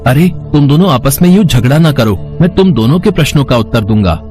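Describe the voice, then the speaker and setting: A man voicing a proud, commanding god in formal, emphatic animated story dialogue. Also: mid-range voice, a little fast, soft background music, natural voice. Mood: gracious, firm.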